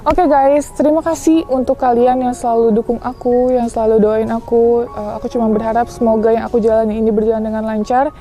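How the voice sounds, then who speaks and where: A woman's voice: fairly high pitch, little pitch movement, a little fast, dark tone. A woman hosting a casual video interview, speaking politely and humbly to her guest and viewers. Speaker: YouTube host, interviewer.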